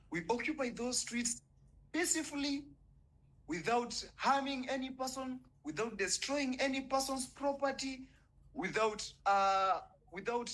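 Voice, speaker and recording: A man's voice: high voice, pushed and bright, poor audio. A young man with a Kenyan accent, giving an impassioned, indignant speech in an online audio room. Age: young adult. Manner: fiery, indignant, swearing. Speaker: caller in an online audio space.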